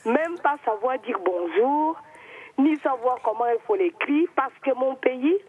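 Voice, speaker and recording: A woman's voice: high-pitched, expressive, measured pace. A woman with an Equatorial Guinean accent, a native Spanish speaker with a cold and a sore throat, calling in to a talk show and speaking with emphatic, heartfelt conviction. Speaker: caller. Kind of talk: phone-in call to a talk show. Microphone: telephone. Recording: tinny phone line.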